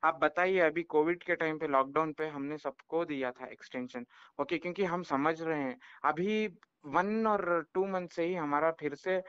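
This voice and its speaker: A man's voice: on the high side, moderate pace, a bit bright, clean tone, dry recording. A man with an Indian accent speaking on a recorded phone call as a company representative, explaining and defending himself with emphatic repetition.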